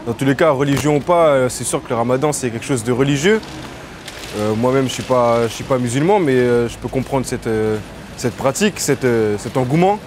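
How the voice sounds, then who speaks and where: A man's voice: medium pitch, animated, fairly quick, background noise. A man answering a street interview outdoors near a car park, hesitant with many fillers and restarts. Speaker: passer-by interviewed.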